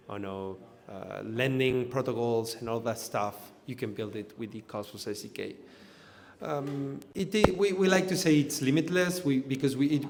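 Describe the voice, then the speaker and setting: A man's voice: medium pitch, slight background noise. A man from Argentina giving a technical conference talk as an engineer, explaining loosely with lots of fillers and restarts. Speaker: conference speaker, blockchain engineer. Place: event hall in front of an audience. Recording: echoey hall.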